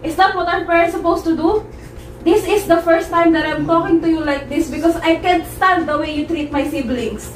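A young woman plays a dutiful eldest sister who shields the younger ones, in a high voice. The amateur melodrama is picked up from a distance in an echoey living room. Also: a little fast, slight background noise. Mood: firm. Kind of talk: amateur one-act melodrama acting. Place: living room, far camera mic. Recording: echoey room, distant mic, faint music.

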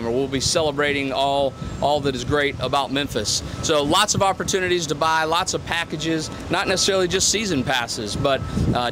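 A middle-aged man answering press questions outdoors, halting with many repeated words and fillers. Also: fairly high pitch. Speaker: interim athletic director. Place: outdoors at a stadium construction site. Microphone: podium microphones on a stand. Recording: outdoor traffic noise behind.

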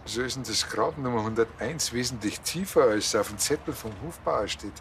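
A man's voice: medium pitch, brisk, fairly bright tone, natural voice. An actor playing a stubborn, grumbling small-town policeman with a Bavarian accent and a contrary, colloquial manner in a TV crime comedy. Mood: suspicious. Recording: noisy ambience behind dialogue.

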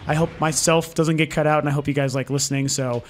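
Man, hoarse voice, higher pitch, rambling casual chat at home, lots of ums. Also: fairly even intonation, quick pace, slight background noise. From Denver, Colorado, US. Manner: rambling, many ums and restarts. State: losing his voice. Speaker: gaming channel host. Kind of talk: casual talk to camera audience over gameplay footage.

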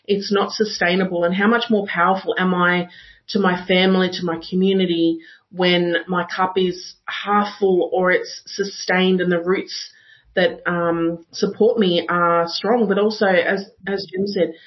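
A woman's voice: lowish voice, level tone, a little slow. A woman speaking earnestly as a guest on a panel conversation. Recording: dull lo-fi audio.

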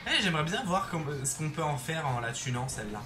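A young man chatting casually over his gameplay on a headset mic, excitable and throwing in English exclamations. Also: on the high side, a little fast. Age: young adult. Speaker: gaming YouTuber. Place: bedroom. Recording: roomy sound with game music behind.

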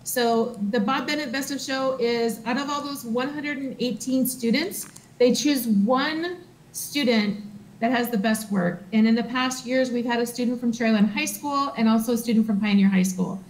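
Woman, somewhat high voice, school librarian, halting award announcements, remote feed. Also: poor audio. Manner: reads names haltingly with fillers. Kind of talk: presenting awards at a board meeting.

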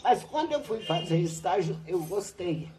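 An older woman, a retired teacher, recounting her school days in a relaxed interview on a home patio. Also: lowish voice, moderate pace, soft background music. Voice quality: lively, animated storytelling with laughs. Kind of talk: reminiscing interview. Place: covered outdoor patio at a home.

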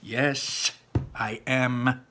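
A man's voice: little pitch movement, very slow, background noise. A man reading a story aloud, voicing an anxious teenage boy who whines and panics. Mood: reluctant. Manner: whiny, panicky, high-strung.

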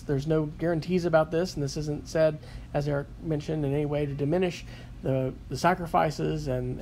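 A man reading a scripture passage and then calmly summarizing a sermon he heard, with frequent fillers. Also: on the high side, slight background noise. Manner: calm, reflective, with frequent fillers. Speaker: sketchnote narrator.

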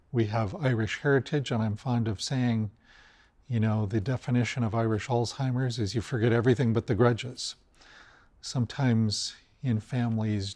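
Older priest giving a calm homily. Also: male voice, lowish voice. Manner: calm, measured and gently pastoral. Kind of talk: weekly Sunday homily to camera. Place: indoors in a large church.

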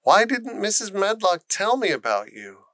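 A man's voice: sits high, big pitch swings, moderate pace, pushed and bright, no room sound, clean studio sound, voice pushed up, heavily acted. A man voicing an earnest, curious young girl with a questioning manner in a storybook. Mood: alert, astonished.

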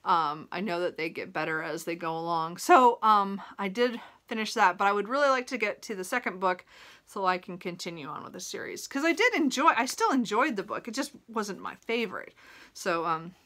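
A woman's voice: medium pitch, sharp tone. A woman in her thirties talking casually to camera as a book vlogger, in a rambling way that often interrupts itself. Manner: rambling, self-interrupting, chuckles while talking. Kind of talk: casual chat to camera about a reading list. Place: small room at home, indoors.

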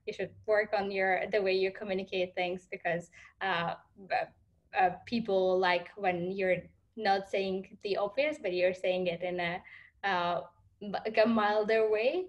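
A young woman giving informal panel answers on a video call, halting and often restarting as she thinks. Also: medium pitch, highs cut off. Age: young adult.

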